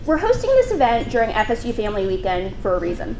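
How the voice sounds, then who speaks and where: A woman's voice: on the high side, huge pitch swings, fast talker, darkish tone, a little hiss or hum. A woman on a college's staff giving a formal, proud prepared speech and introducing a student at a breakfast event. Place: large banquet room. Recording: echoey hall, faint ambience.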